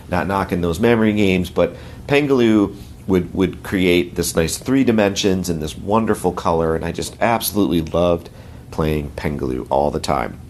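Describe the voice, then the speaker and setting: A hoarse middle-aged man from the United States, rambling in a friendly way as a podcast regular and sharing tips. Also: sits low, slight background noise. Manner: rambling, friendly, self-correcting. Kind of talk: casual podcast segment.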